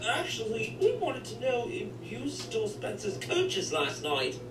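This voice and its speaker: A man's voice: sits high, huge pitch swings, measured pace, noisy background, full character voice. A man voicing an eager, naive young diesel engine with an earnest, hesitant manner in a cartoon comic dub. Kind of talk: comic dub character acting. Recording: roomy, distant playback with music.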